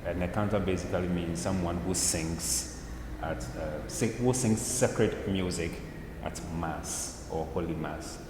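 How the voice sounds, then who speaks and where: Deep-voiced male interviewer in echoey hall. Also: measured pace, hardly any pauses, noisy background. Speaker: interview host. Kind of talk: conversational interview. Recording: echoey hall, long reverb.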